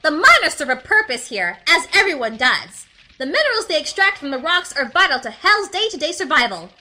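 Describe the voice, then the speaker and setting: A blunt, sassy bat of the underworld in an audio drama. The voice is high and very sharp, with lively swings in pitch. It sounds mocking yet matter-of-fact. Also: female voice, brisk, full character voice, low-quality recording. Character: sassy, blunt bat serving as guide in Hell. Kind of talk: audio drama character acting.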